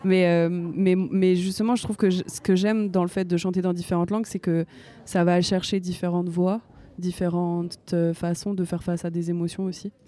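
A woman's voice: fairly low pitch, flat delivery, normal speed. A young woman from France, a singer-songwriter, speaks casually and a little hesitantly in an interview, with frequent fillers and restarts. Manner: soft-spoken and hesitant, with many fillers and restarts.

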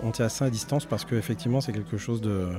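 Low, mellow-voiced male interviewer. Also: fairly even intonation, soft background music. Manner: measured, long winding questions. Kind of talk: radio-style interview questions.

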